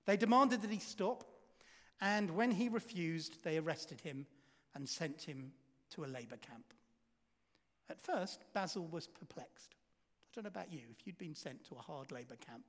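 A man preaching a sermon, telling a story and asking the congregation rhetorical questions, his volume swinging from loud to hushed. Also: a little high, animated, normal speed. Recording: reverberant hall.

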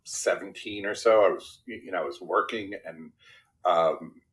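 A man's voice: deep voice, normal speed. A man in his fifties from Brooklyn, New York, whose first language was Spanish, talking casually as an interview guest and checking in often with "right?". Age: 50s. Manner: self-deprecating, checks in often with tag questions.

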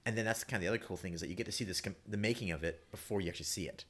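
A man's voice: low-pitched, racing, fairly bright tone. A man, a guest playwright chatting casually in an effusive, rambling way. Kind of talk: casual panel chat.

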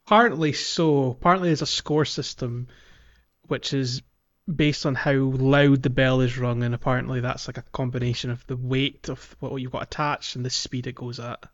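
A man's voice: medium pitch, fairly even intonation, moderate pace, no echo, dull audio. A man co-hosting a podcast, explaining things in detail in casual gaming chat. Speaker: podcast co-host.

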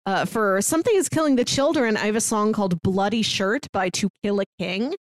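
A woman's voice: medium pitch, brisk, no room sound. An American woman co-hosting a podcast in a casual recap chat, informal and enthusiastic, sprinkling in "like" often. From St. Louis, United States. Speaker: podcast co-host.

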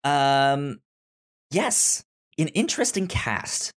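A man's voice: a little high, animated, very slow. A man hosting a podcast in casual conversation, often stammering and repeating syllables and words. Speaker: podcast host.

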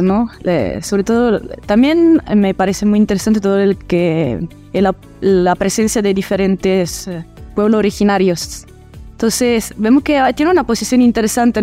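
A woman's voice: medium pitch, warm tone. A woman from Italy, a university teacher answering interview questions in a hesitant way, with many filler sounds.

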